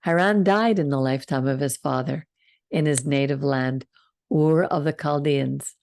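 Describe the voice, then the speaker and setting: An older woman hosting a Torah study over a video call, reading the passage slowly and calmly and stopping to comment. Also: low voice. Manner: slow, calm reading with side comments. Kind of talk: reading scripture and teaching.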